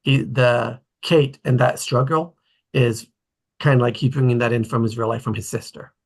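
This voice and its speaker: A man with a somewhat husky voice talks casually in a group discussion. His pitch is middling, and he explains things haltingly, often interrupting himself to pick up the thread. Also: fairly even intonation.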